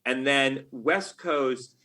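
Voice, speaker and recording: An American man chatting as an interview guest in a casual, halting way, thinking aloud. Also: mid-range voice, pushed and bright. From United States. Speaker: podcast guest, game writer.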